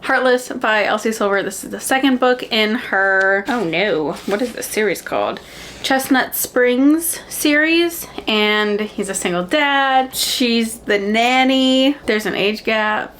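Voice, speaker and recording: A young adult woman chatting casually about books, with an animated, gushing delivery and dramatic emphasis. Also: fairly high pitch, slow, slight background noise. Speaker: book reviewer and vlogger. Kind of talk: casual chatty book recommendation vlog.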